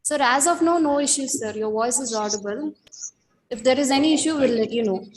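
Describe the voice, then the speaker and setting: A woman's voice: high-pitched, a little fast, echoey room, a little hiss or hum. A woman hosting an online session, speaking formally and politely, often adding "sir". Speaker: event host. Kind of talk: formal welcome. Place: video call.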